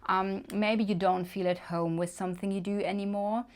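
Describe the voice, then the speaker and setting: A woman's voice: mid-range voice, fairly even intonation, normal speed, a little mellow. A woman with a German accent, reading tarot cards to camera in a chatty way, thinking aloud with many fillers. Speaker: tarot reader. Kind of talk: casual card reading to camera.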